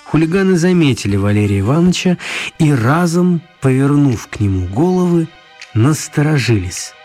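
A man narrating a radio play for children in a wry, storytelling manner, with a husky voice. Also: a little high, very animated, normal speed, warm tone, soft background music.

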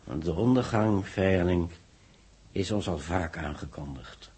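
An actor playing a grave astronomer in a 1960s Dutch radio drama, speaking in a measured, solemn way with dramatic pauses. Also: male voice, low-pitched, animated, dark tone, breathy edge, full character voice. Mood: solemn, foreboding. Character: grave male astronomer warning of solar catastrophe. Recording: old 1960s radio recording.

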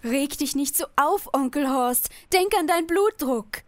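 A woman plays a dutiful young niece in a comic audio drama. Her voice is high and recorded dry, and she speaks with soothing patience. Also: lively intonation, measured pace, no echo. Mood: soothing, patient. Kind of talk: parody audio drama acting.

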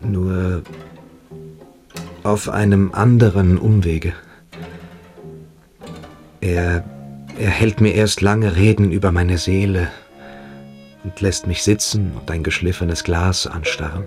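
An actor playing a submissive, evasive boarding-school pupil in a German radio play, hesitant and coquettish. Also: male voice, sits low, steady tone, slow, long pauses, a little mellow, faint music behind. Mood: hesitant, confiding.

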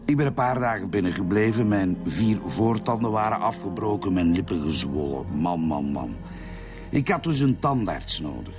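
An actor playing a cold, menacing Flemish armed robber in a radio drama, matter-of-fact about violence. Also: male voice, low voice, lively intonation, normal speed. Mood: matter-of-fact confession. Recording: music behind the voice.

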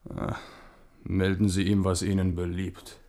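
A proud French warrior king in a radio drama. His voice is low, and he speaks in a declamatory, commanding way with an ironic edge and the weight of his cares. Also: monotone, measured pace, dark tone. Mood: dismissive. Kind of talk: German radio play acting.

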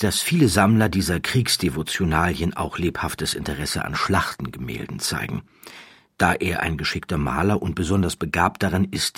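A man narrating a scripted documentary story in a measured, emphatic and wry manner, close to the microphone. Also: deep voice, a bit bright, husky, no room sound.

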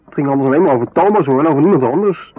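An actor playing an easygoing, slightly defensive husband in a naturalistic radio drama, talking in a casual, evasive way. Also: male voice, a little high, lively intonation, rapid-fire, breathy edge. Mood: evasive, reflective. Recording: muffled, phone-like 1970s radio recording, noisy.

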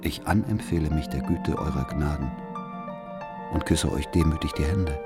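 An actor playing a cold, servile spy, reading out his formal, measured report to his master in a historical radio drama. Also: extremely low pitch, over loud music. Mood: grave, cold.

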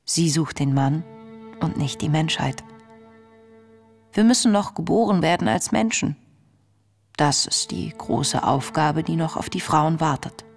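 An actress playing an earnest, introspective young woman reading her wartime diary in a radio play, measured and intimate. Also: low-pitched, expressive. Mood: pensive. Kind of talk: radio drama diary monologue. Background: music.

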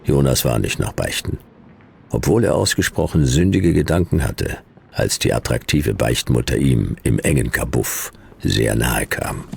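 An actor playing a world-weary, wry private detective in a futuristic radio crime drama, gravelly, laconic and deadpan in clipped sentences. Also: male voice, very deep voice, measured pace, a little hiss or hum, exaggerated voice. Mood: deadpan, sardonic. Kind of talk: noir radio drama, first-person narration and dialogue.

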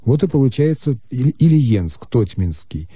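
A man calmly explaining Russian grammar as the expert on a radio call-in show. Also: medium pitch, slightly rough, no echo. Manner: measured, lecturing. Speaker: language expert answering listeners.

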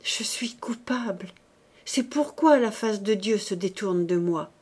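A woman's voice: mid-range voice, animated, unhurried, darkish tone, slightly rough. A woman voicing a distraught, guilt-ridden married woman who pleads and confesses feverishly, in dramatic audiobook style. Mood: sombre, guilty murmur. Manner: pleading, exalted, breaking into whispers. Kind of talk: dramatic audiobook character acting.

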